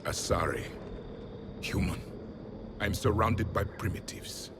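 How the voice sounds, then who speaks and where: A man's voice: on the low side, slow, noisy background. An actor playing a stern, proud male alien warrior, last of an ancient people, speaking in grave, clipped pronouncements for a video game. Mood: grave, disdainful. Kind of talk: video game drama acting. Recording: loud music behind the voice.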